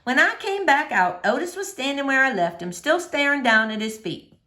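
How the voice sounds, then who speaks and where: A woman teacher reading a storybook aloud to children, with expressive, animated storytelling. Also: sits high, normal speed.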